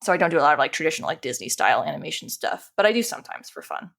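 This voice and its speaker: Casual, chatty woman with a lowish voice. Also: very animated, rapid-fire, vocal fry, a bit bright. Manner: chatty, informal, self-deprecating, often laughing. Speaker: podcast guest, animator and dungeon master. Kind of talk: casual interview chat.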